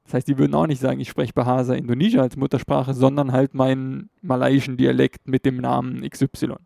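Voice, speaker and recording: Gravelly-voiced man explaining casually. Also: mid-range voice, little pitch movement, brisk, warm tone, no echo, clean studio sound. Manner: loose explaining with fillers and self-corrections. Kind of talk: casual educational talk.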